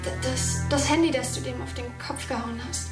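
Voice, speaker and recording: A woman's voice: high-pitched, steady tone, brisk, natural voice. An actress playing an indignant young schoolgirl, blunt and insistent, in a naturalistic radio drama with roomy, distant sound. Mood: accusing. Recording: roomy, distant, music underneath.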